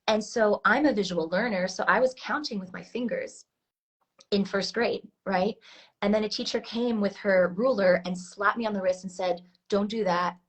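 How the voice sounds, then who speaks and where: A woman's voice: mid-range voice, moderate pace. A woman in her thirties from Switzerland with an American accent, a coach talking casually and earnestly in an interview, with frequent fillers and restarts. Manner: earnest, many restarts and fillers, laughs often. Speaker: coach, guest.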